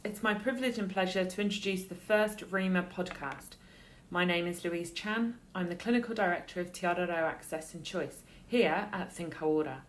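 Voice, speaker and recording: A woman's voice: on the low side, normal speed, slight background noise. A woman clinical director hosting a podcast interview, asking questions in an upbeat, inviting way.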